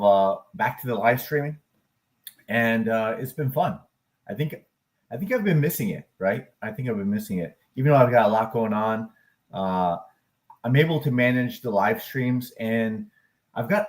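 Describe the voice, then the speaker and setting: Upbeat, rambling male live stream host. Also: mid-range voice. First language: English. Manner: upbeat, rambling, with frequent fillers and self-corrections; occasional loud whooping cheers. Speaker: live stream host, crypto and stock chart analyst. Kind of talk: casual live stream chat.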